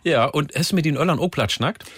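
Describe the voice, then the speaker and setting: Radio host man, lively up-and-down voice, a bit husky, dry studio sound, chatty and teasing. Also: fairly high pitch, dry recording. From northern Germany. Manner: chatty, teasing, asks lots of quick questions. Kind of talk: casual radio interview. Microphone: studio microphone.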